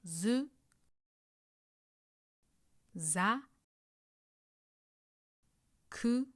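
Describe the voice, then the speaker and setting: Female language tutor, medium pitch, unhurried instructional read with careful enunciation, close and dry recording. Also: lively intonation, no echo. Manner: clear instructional delivery, carefully enunciating example sounds. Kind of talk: scripted lesson narration, guided pronunciation practice.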